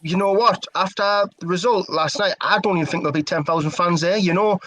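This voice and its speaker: A man's voice: sits high, brisk, a crowd in the background. A man with a Geordie accent arguing his corner as a panelist on a live football fan show, repeating himself when pushed.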